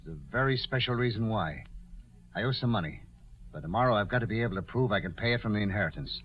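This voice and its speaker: A man's voice: on the low side, quick pace, a little hiss or hum, lightly acted. A man playing a cold, scheming gambler with clipped, calculating delivery in a 1940s radio drama. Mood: brisk, calculating. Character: cold, scheming male gambler plotting a murder cover-up. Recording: dull vintage 1940s radio recording.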